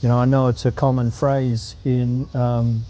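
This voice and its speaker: Earnest middle-aged Australian man, dark voice. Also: mid-range voice, flat delivery, slight background noise. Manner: earnest, reflective, unhurried. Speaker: guest. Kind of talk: interview answers.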